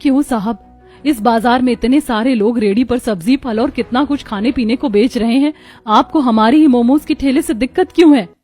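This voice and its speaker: Cartoon casting: female narrator as a hard-up, caring young housewife, determined and plaintive, mellow tone, music underneath. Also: fairly high pitch, moderate pace, lightly acted. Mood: protesting. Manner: earnest, plaintive. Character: poor, determined, caring young daughter-in-law. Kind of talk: cartoon story acting.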